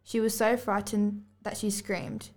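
A woman's voice: medium pitch, level tone, unhurried, heavy background noise. A girl of about eleven or twelve reading scripted storybook narration aloud as a student podcast host. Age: child, about 11 to 12.

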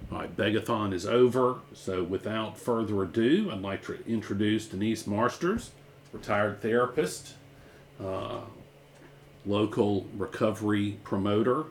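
Gravelly low-voiced podcast host, halting. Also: male voice, slight background noise. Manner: halting, with many "uh" fillers. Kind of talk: casual podcast hosting.

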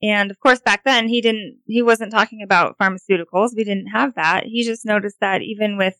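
A woman with a Montana accent gives steady, clear explanations as a guest, with a few fillers. Her pitch is mid-range. The recording is dry and close, with no room sound. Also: fairly quick, no echo. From Montana, USA. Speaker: homeopath guest. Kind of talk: explanatory interview answers.

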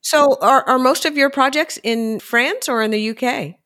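A woman's voice: high-pitched, moderate pace, fairly bright tone. A woman hosting a property podcast, reading formal introductions and asking interview questions. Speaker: podcast host.

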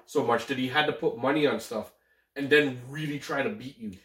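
A man's voice: mid-range voice, brisk, roomy sound, distant mic, background noise. A man, co-hosting a casual podcast, rambling and slangy and laughing easily, sounding slightly stuffed up. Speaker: podcast co-host. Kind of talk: casual chat.

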